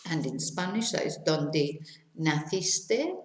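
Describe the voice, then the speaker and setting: A middle-aged woman, teaching to Spanish speakers, repeating each question slowly and clearly. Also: sits low, expressive, reverberant, a little hiss or hum. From England. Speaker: English teacher. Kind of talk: language lesson for Spanish speakers.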